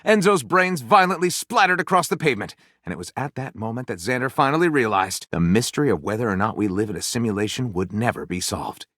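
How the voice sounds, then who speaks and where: Wildly swooping theatrical storyteller. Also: male voice, medium pitch, lively intonation, fairly bright tone, no echo, clean studio sound. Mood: melodramatic narrating. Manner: theatrical, dramatic swoops and pauses, gushing then snide. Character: flamboyant, theatrical male book lover narrating his absurd pulp tales. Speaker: voice actor. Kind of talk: game character voice lines, melodramatic storytelling.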